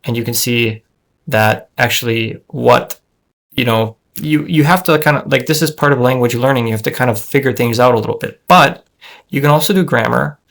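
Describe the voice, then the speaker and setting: A man's voice: mid-range voice, normal speed, creaky, a bit bright. A man demoing his language-learning app on screen, talking in a casual, thinking-aloud way with lots of fillers and restarts. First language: English. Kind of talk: casual screen-share software demo.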